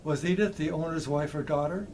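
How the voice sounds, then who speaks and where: An older man in his seventies narrating a scripted demonstration in a measured, methodical way. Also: a little high, a little mellow, a little hiss or hum. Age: older, around 70s. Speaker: presenter of vintage electronics. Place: small indoor electronics workshop.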